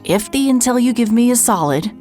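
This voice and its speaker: An actress playing a hard-boiled, grudging private investigator in a mystery audio drama, exasperated and sarcastic. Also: female voice, on the high side, lively intonation, fairly quick, mellow, soft background music, natural voice. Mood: eager, guarded.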